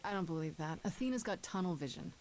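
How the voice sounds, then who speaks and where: A woman's voice: on the low side, expressive, fast talker, darkish tone, close to an everyday voice. An actress playing an earnest, wounded but defiant young woman in a video game drama, with emotional delivery. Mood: defiant, grieving. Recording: game audio with faint background noise.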